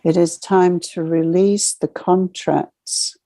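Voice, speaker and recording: A woman leading a channeled guided meditation, speaking as a solemn spiritual guide in measured, ceremonial short phrases. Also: on the low side, flat delivery, warm tone, dry recording.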